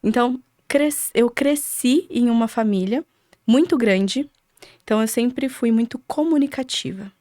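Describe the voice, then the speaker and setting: A woman's voice: fairly high pitch, a little slow, darkish tone, no room sound, clean studio sound. A woman from Paraná with a Brazilian accent, a podcast guest telling her story casually and reflectively in short listed phrases. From Cambé, Paraná, Brazil.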